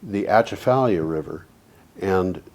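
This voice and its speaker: A man with a fairly low voice co-hosts a community TV chat about energy. He rambles through his stories, restarts sentences and lets them trail off quietly. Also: animated, measured pace. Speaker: co-host of an energy talk show. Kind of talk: casual studio chat.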